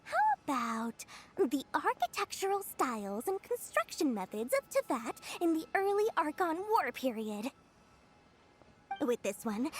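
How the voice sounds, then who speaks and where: A woman voicing a petite, cunning, money-loving merchant in a video game, with theatrical, drawn-out sales patter. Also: high voice, a little hiss or hum. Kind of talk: animated video game dialogue.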